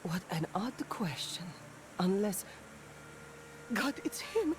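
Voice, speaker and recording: A woman's voice: medium pitch, very animated, slow, background noise. An actress voicing a jumpy, flirtatious street woman in a video game, speaking in a theatrical way. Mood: wary.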